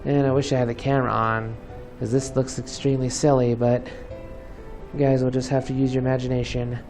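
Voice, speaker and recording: A man's voice: medium pitch, little pitch movement, fairly quick, a little mellow. A man giving casual, sarcastic and grumbling commentary as he plays a video game. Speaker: video game let's player. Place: voice-over over gameplay, off camera. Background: game music.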